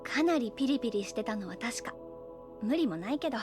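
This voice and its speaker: A woman's voice: on the high side, expressive, fairly quick, over music, close to an everyday voice. An actress playing an easygoing, affectionate young woman in an anime audio drama, talking in a frank, friendly, casual way. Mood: frank, gentle. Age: young adult.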